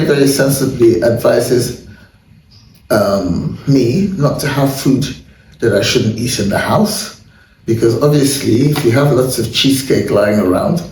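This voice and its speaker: A man hosting a casual wellness chat, candid and self-deprecating, with a hoarse voice and frequent throat clearing. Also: medium pitch, a little mellow. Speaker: host. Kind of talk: casual chat and viewer shout-outs. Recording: roomy echo, far from mic, faint noise.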